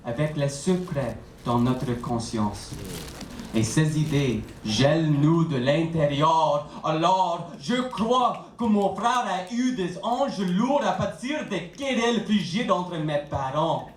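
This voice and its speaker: A man performs spoken word, recorded at a distance in a roomy venue. His delivery is slow and dramatic, with deliberate pauses and wide swings in pitch. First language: English. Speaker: slam poet. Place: indoor poetry slam venue. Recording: roomy, distant live stage recording, some noise.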